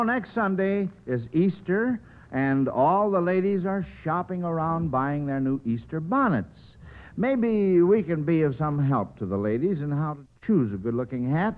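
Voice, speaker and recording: An American man hosting a radio quiz show, ad-libbing haltingly with plenty of hems and haws. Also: fairly high pitch. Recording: old radio broadcast, narrow band, faint noise.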